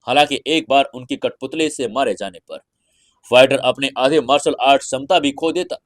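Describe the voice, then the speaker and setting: An Indian man reads a scripted fantasy audio story in a theatrical, deliberate storytelling manner. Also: medium pitch, no echo, clean studio sound. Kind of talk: dramatic scripted fantasy narration.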